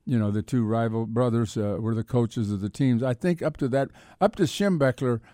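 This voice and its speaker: A man's voice: medium pitch, moderate pace, dark tone, dry recording, studio quality. An older man with an American accent talking sports casually, in a rambling, reflective way. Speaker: sports columnist and radio co-host.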